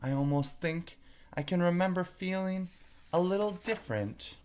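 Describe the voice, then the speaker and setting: A bewildered young storybook girl, acted out by a man, talks to herself in a lifted, high voice. She is dramatic and exclamatory, quick to chide herself and muse aloud. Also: a little mellow, slight background noise, natural voice. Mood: puzzled. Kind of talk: children's storybook character voice.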